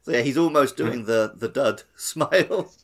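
A man's voice: mid-range voice, moderate pace. A man chatting casually as co-host of a podcast. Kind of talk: casual chat.

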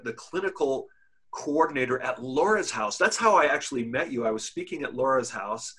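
Husky-voiced male podcast host. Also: mid-range voice, sharp tone. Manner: halting, with many restarts. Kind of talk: casual podcast interview.

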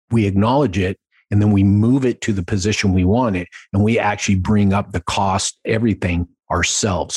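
A man with a very low voice speaks as a coach hosting his own show, in a dry, close recording with little room sound. His delivery is conversational but emphatic, circling back to key points and tagging them with quick check-ins. The voice has a slightly husky edge. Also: dry recording. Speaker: podcast host and sales coach. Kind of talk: solo instructional talk, conversational.

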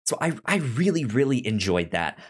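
A man's voice: mid-range voice, sing-song, moderate pace, husky. A man chatting casually as a podcast host, with a stutter, often acting out imagined dialogue. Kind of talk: casual TV discussion chat.

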